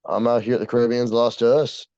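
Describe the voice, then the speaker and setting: A man's voice: medium pitch, little pitch movement, fairly quick, warm tone, clean tone. A young American man chatting casually as a podcast co-host, with a brash, slangy, sweary way of talking. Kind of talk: casual chat. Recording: dull, roomy lo-fi sound.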